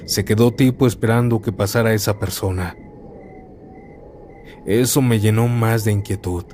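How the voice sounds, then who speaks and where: A man narrates horror stories in a calm, steady first-person read. His voice sits a little low and has a warm, mellow tone. A faint noise runs under the recording. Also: normal speed.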